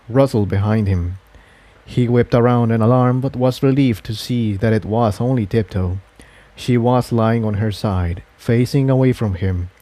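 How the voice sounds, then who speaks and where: A man reading scripted story narration as a fan fiction narrator, in a measured manner. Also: mid-range voice, dark tone, dry recording.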